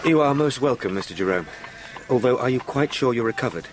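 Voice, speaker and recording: An actor playing an eager, courteous young London solicitor in a radio drama, chatty and well-spoken with an English accent. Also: male voice, medium pitch, expressive, a little fast, background noise, lightly acted.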